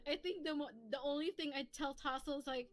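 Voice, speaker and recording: A giggly, excitable woman co-hosting a casual livestream and chatting with viewers. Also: high voice, brisk. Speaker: streamer co-host, comic dubber. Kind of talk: casual livestream chat, reading viewer questions.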